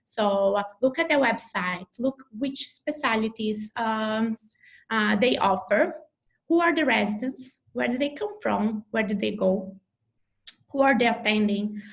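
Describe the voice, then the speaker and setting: A woman's voice: mid-range voice, gravelly, a bit bright. A neurologist from Brazil with a Brazilian Portuguese accent gives advice over a video call. Her Portuguese-first English is hesitant and full of fillers and restarts. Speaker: neurologist faculty member. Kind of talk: advice talk in an online info session.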